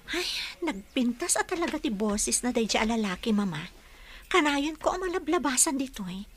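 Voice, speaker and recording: A woman's voice: sits high, sing-song, normal speed. A young woman acting a sincere, heartbroken young nurse in an Ilocano radio-style drama, speaking earnestly. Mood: wistful, sincere. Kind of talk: Ilocano audio drama dialogue.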